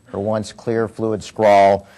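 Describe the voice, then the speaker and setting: Man, very low voice, wry deadpan humor, prepared talk. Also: slow. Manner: wry, deadpan comic timing. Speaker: comedy writer giving a talk.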